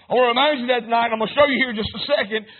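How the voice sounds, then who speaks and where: A man's voice: extremely high pitch, rapid-fire. A man preaching an emphatic revival sermon, punctuating lines with "hey" and "amen". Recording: tinny phone-like sound.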